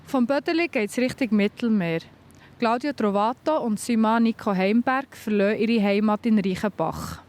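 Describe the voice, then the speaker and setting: A woman with a Swiss German accent reads the news links in a fairly high voice. Her delivery is brisk and smooth. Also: a little mellow. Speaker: news presenter. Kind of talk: scripted news reading.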